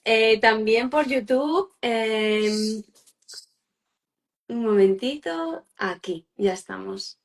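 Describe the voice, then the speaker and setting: A woman's voice: fairly high pitch, drawn-out words, long pauses. A woman psychologist hosting a live-streamed interview, talking with many fillers and restarts.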